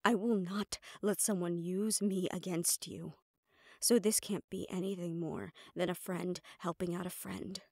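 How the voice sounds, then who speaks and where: A woman's voice: on the low side, fairly even intonation, unhurried, gravelly, a little mellow, dry recording, studio quality. A woman playing a composed, protective assistant to a mafia boss in an intimate audio roleplay, speaking firmly and reassuringly straight to the listener. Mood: pleading, resolute. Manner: firm, reassuring, addressing the listener directly.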